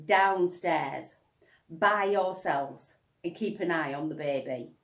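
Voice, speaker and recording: A woman's voice: sits low, slow pace, reverberant, distant mic. A woman voicing a weary, exasperated mother, firm and sighing, in a storybook reading. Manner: firm, sighing, long-suffering.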